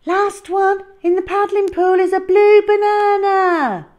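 A woman's voice: very high-pitched, takes it slowly, darkish tone, lo-fi sound, voice pushed up. A woman voicing a small, polite, playful boy with an earnest manner in a picture-book story. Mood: urgent. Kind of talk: children's picture-book reading.

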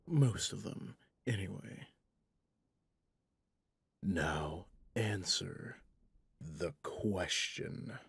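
Centuries-old vampire hunter , male actor: stern and menacing, gravelly, whisper-prone, recorded close and dry for ASMR roleplay. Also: low voice, lively intonation, no room sound, heavily acted. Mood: stern, commanding. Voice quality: husky, breathy, gravelly, often dropping to a whisper. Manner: slow, commanding, interrogating.

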